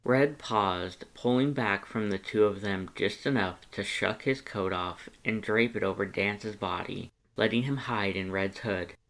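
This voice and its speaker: A man narrating fan fiction in an expressive audiobook style. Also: lowish voice, a little slow, few pauses.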